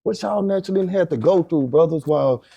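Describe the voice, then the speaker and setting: A man's voice: on the high side, expressive, quick pace, creaky, warm tone, husky. A male host running a casual interview in a laid-back way, leaning on repeated catchphrases.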